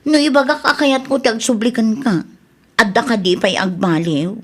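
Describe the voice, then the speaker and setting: An actress plays a restless, self-willed Ilocano ex in a radio drama. Her voice sits a little high, and she speaks with dramatic insistence. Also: normal speed, natural voice. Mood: pleading. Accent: Filipino, Ilocano.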